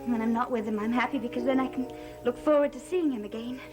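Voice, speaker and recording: Defiant lovestruck young woman acted by a woman, very high voice, emotional gothic melodrama, music behind. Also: quick pace, natural voice. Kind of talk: gothic soap opera acting.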